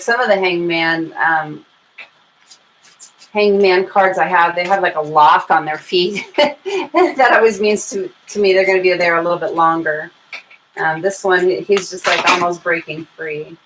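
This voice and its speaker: A woman's voice: on the low side, poor audio. An American woman hosting a live tarot reading, chatting informally to her viewers and thinking aloud as she goes. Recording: clear background noise and room ambience.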